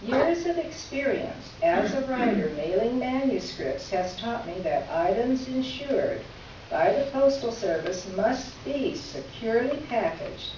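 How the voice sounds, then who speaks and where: A woman acts out a polite, pointed female columnist in a readers theater piece. She reads her letter in measured, formal phrases with dry irony. The sound is very echoey and somewhat dull, with faint noise. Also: on the high side, a little mellow. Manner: formal, measured, drily ironic. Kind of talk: staged readers theater, reading a letter aloud. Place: live reading in a reverberant room. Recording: echoey room from far off, dull, noisy.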